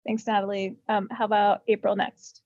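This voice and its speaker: A woman's voice: mid-range voice, level tone, normal speed, a little mellow, no echo. A woman hosting a podcast panel who reads polished introductions and then moderates the guests. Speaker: podcast host. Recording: dull, dry lo-fi audio.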